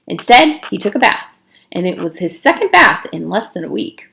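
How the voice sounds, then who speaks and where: Animated woman reading a story aloud. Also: medium pitch, normal speed, gravelly. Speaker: read-aloud narrator. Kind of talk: children's novel read aloud, expressive.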